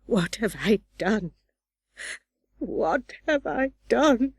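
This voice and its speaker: Slow-spoken, broken old recluse lady. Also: fairly high pitch, lively intonation, slightly rough, dry recording, studio quality, exaggerated voice. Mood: anguished. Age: older. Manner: slow, anguished, drawn-out delivery. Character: broken, remorseful old wealthy recluse woman. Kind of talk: dramatic audiobook character reading.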